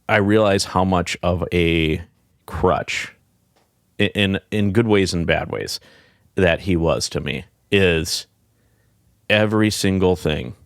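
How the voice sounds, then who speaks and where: A middle-aged man with a deep voice, a podcast guest talking in a casual, reflective way, rambling with many fillers and restarts. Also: vocal fry, no echo, studio quality.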